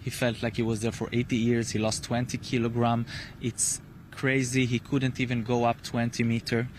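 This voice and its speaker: Male interviewee, Israeli accent, flat intonation, emphatic repeated phrases, slight outdoor noise. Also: mid-range voice, moderate pace. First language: Hebrew. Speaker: interviewee, hostage's grandson. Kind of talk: earnest interview answers. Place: outdoors near a rural kibbutz. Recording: noisy outdoor field recording.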